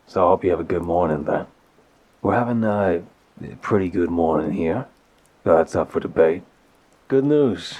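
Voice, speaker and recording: A man playing an apologetic, smitten mafia boss in an intimate audio roleplay, tender and wryly self-deprecating, with a husky voice. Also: sits low, expressive, normal speed, long pauses, darkish tone, dull audio. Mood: fond, teasing. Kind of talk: intimate ASMR roleplay, conversational voicemail monologue.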